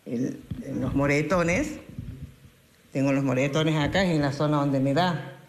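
A woman in her forties with an Argentine accent, recounting her accident haltingly at home. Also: low-pitched, slight background noise. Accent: Argentine Spanish. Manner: hesitant, with fillers. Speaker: accident victim interviewed. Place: indoors at home.

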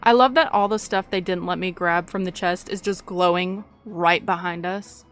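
A woman's voice: on the low side, fairly even intonation, normal speed, smooth, faint music behind. A young woman hosting a gaming video, chatting casually over gameplay.

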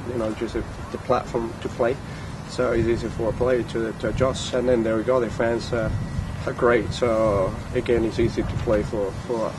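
A young man, a footballer giving halting post-match answers with many fillers, into handheld recorders outdoors. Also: medium pitch, flat delivery, noisy background. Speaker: goalkeeper. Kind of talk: post-match press interview. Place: outdoor press area by a sponsor backdrop. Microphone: handheld recorders held close.

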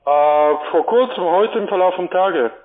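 A man's voice: high-pitched, measured pace. Formal male telesales agent on phone line. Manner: formal, courteous, explanatory. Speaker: call centre sales agent. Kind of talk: sales pitch over the phone. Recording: tinny narrow phone-line sound.

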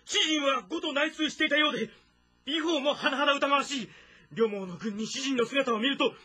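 A man playing a loyal, urgent officer reporting to his commander in a historical game drama, with a husky, strained voice. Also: very high voice, normal speed, bright, forceful tone. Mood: anxious reporting. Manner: urgent, deferential military reporting. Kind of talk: Japanese historical drama voice acting.

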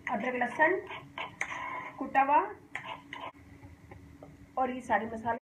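A high-pitched woman from Pakistan walks viewers through a recipe in her home kitchen, explaining each step in a calm, homely way. The kitchen sounds echoey, and a faint hum runs underneath. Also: slow pace. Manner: calm, homely explaining, often saying "dekhiye ji". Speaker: cooking channel host. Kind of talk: step-by-step cooking demonstration.

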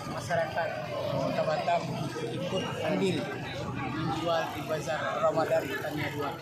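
A man's voice: on the high side, little pitch movement, very noisy. Formal organizer man in a crowd. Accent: Indonesian. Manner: formal and measured. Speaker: event organizer. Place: crowded outdoor bazar.

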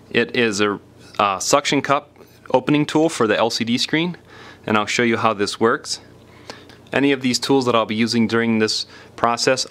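A man's voice: mid-range voice, a bit bright. Casual man giving a hands-on repair tutorial. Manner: plain-spoken, informal, explanatory. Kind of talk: casual step-by-step hands-on demonstration.

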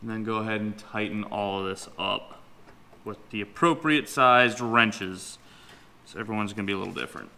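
A man's voice: fairly low pitch, moderate pace, gravelly, sharp tone, a little hiss or hum. A man in his twenties giving a casual, hands-on tutorial to camera in an informal, folksy way, recorded in a home garage. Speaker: host of a car modification vlog. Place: home garage, partly inside a vehicle.

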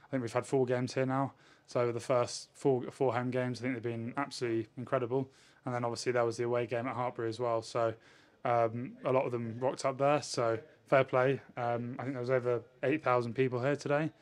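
A man, a rugby player answering questions in a post-match interview in a measured way. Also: medium pitch, level tone. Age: 20s. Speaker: professional rugby player, winger. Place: indoors in front of a sponsor backdrop. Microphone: handheld interview microphone held at chest height.

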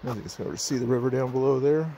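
A man's voice: medium pitch, fairly even intonation, very fast, creaky, noisy background. A middle-aged man with a sniffly voice, chatting easygoing to his phone camera as he hikes a forest trail. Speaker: hiker vlogger. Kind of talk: casual rambling hike narration.

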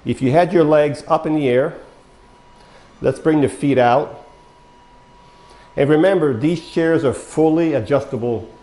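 An older man demonstrating a power wheelchair in a showroom, talking folksy and step by step to viewers he calls folks, his voice going hoarse with coughs. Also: fairly high pitch, a little slow, long pauses, dark tone. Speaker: mobility dealer demonstrating a wheelchair. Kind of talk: product demonstration talk. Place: large bare showroom studio, indoors. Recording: echoey room.

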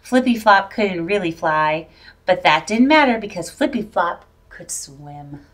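A middle-aged woman reads a picture book aloud for young children. Her delivery is slow and expressive, with a very lively rise and fall in pitch. She is recorded indoors, a little way from the microphone. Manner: animated, drawn-out, dramatic delivery for young children. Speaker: storyteller reading a picture book. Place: plain-walled indoor room. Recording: voice picked up from a distance.

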